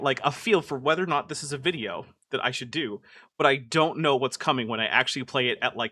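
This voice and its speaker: A man's voice: medium pitch, pushed and bright, no echo, clean studio sound. A man hosting a live stream, reacting to a video in casual, exasperated commentary full of "like" fillers. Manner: chatty and exasperated, many "like" fillers.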